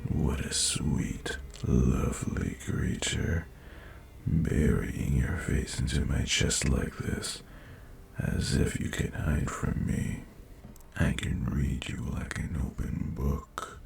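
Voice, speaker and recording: An ancient, possessive male dragon, acted by a man for a fantasy roleplay audio, with an extremely low voice full of heavy creak. He speaks slowly and formally, in a breathy, seductive murmur that often sinks close to a whisper, tender but sure that he owns you. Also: darkish tone, exaggerated voice. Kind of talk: intimate roleplay audio acting.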